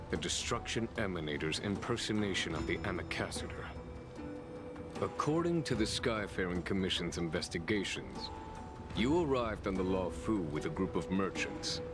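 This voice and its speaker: A deep-voiced, commanding general. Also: male voice. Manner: steady, authoritative questioning. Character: commanding general, calm and probing. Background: game music.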